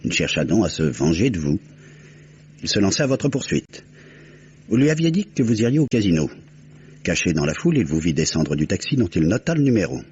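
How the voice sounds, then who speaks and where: Low-voiced, methodical detective. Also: male voice, big pitch swings, darkish tone, breathy edge, exaggerated voice. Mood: methodical storytelling. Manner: measured, explanatory, articulate. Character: composed, methodical detective recounting a solved murder. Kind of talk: old French radio crime drama acting. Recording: muffled old radio recording, faint noise.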